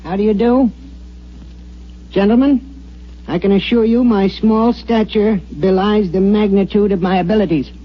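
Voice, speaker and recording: Prim, high-voiced little professor. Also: male voice, fairly even intonation, normal speed, long pauses. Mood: grandly self-important. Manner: formal, pompous, precise. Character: prim, dignified, self-important tiny male professor. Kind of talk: 1950s radio drama acting. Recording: muffled old radio recording.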